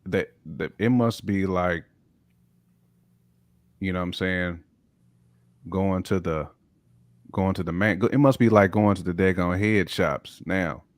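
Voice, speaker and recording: A man's voice: sits low, fairly even intonation, takes it slowly, long pauses, no echo. A man with an American accent bantering casually as a panelist on a hip hop show, slangy and opinionated. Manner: slangy, opinionated, peppered with "you know what I'm saying".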